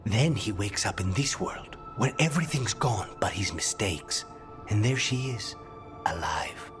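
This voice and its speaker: A distrustful fantasy adventurer, fairly low-voiced, sober and emphatic. Music is clear behind the game dialogue. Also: male voice, expressive, measured pace. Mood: sombre.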